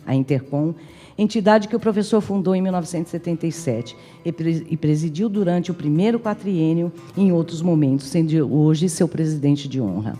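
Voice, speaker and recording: A Brazilian woman reading a formal prepared tribute speech in a measured, enumerating manner, as an academic addressing a conference. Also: low voice, mellow. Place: large hall at an academic conference. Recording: reverberant hall, music in the background.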